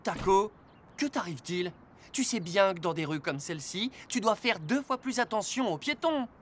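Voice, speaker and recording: An actress voicing a friendly rescue police car in a cartoon, calmly teaching children road safety rules. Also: female voice, mid-range voice, normal speed, slight background noise, lightly acted. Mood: patient. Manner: calm, instructive.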